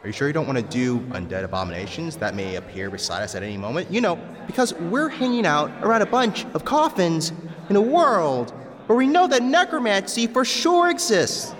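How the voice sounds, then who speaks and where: Man playing a nervous, animated young adventurer. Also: fairly high pitch, fairly quick, big-room sound. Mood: uneasy, wry. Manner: hesitant, wry, rambling. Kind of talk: audio drama acting.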